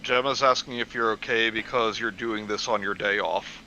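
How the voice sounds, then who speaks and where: A man's voice: on the low side, steady tone, moderate pace, sharp tone. A man chatting casually and joking along as a co-host. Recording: dull lo-fi mic with background noise.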